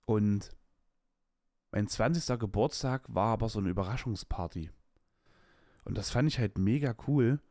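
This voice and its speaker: Podcast host, male, late 20s; rambling candid monologue, lowish voice, dry close mic. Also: normal speed, pauses often, darkish tone, dry recording. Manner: rambling and candid, with restarts and slang. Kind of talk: casual personal monologue.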